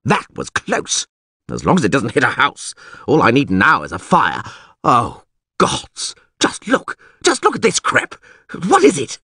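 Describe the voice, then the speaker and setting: A man's voice: medium pitch, wildly swooping pitch, unhurried, slightly rough, dry recording, clean studio sound, full character voice. A man playing a blustering, hot-tempered mayor. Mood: alarmed. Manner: blustering, shouting. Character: hot-tempered middle-aged male town mayor.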